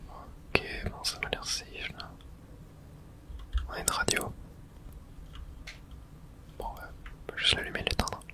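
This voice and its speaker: A hushed, fully whispered voice narrates a video game playthrough in ASMR style. The delivery is casual and unguarded, muttered as if to oneself, with no voiced tone. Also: takes it slowly, long pauses. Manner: whispering throughout; casual swearing, muttering to self.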